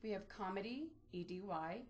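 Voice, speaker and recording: A woman presents an informal online tutorial in a medium-pitched voice. She explains each step as she goes, in the unscripted manner of a trainer demonstrating a research tool. The delivery is instructional and practical. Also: lively intonation, normal speed, darkish tone. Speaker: librarian giving a database tutorial. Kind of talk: informal instructional screen-share walkthrough.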